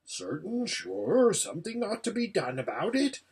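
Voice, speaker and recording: A man voicing an easygoing, complacent little hedgehog from a storybook, chatty and folksy in an unhurried, rambling way. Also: high-pitched, huge pitch swings, a little mellow, husky, voice pushed up, exaggerated voice. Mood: placid chattiness.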